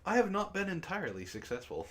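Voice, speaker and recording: A man reading a story aloud to friends over voice chat, deadpan in the narration and laughing often between lines. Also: high-pitched, quick pace. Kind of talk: casual group reading and chat.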